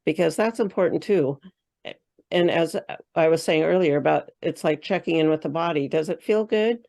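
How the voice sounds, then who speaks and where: Woman, low voice, spiritual coach guest, rambling loosely with fillers. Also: moderate pace, gravelly, darkish tone. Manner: thinks aloud with many fillers and restarts. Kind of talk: casual interview chat.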